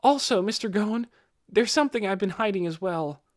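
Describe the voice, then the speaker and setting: Man voicing an earnest, high-pitched teenage boy. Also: lively intonation, brisk, dry recording, studio quality. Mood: apologetic. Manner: earnest, deferential, apologetic. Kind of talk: audiobook character voice.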